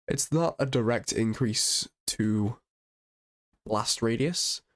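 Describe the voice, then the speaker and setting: A man with a slightly low, croaky voice, a bit sick, talks through a game's patch notes. His delivery is casual and enthusiastic, full of little restarts and fillers as he thinks aloud. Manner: chatty and enthusiastic, with ums and restarts. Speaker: gaming channel host. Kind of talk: casual game patch review.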